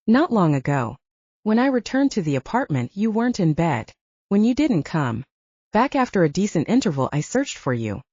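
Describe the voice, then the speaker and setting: A woman voicing an arrogant, protective male wolf shifter with formal, smooth talk in an audiobook. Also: medium pitch, big pitch swings, moderate pace, a little mellow. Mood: seductive, self-assured. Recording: dull lo-fi, roomy sound.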